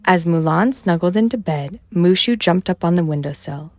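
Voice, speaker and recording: A woman reading a bedtime story aloud for children in an expressive narrating style. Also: on the low side, normal speed, no echo. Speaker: storybook reader.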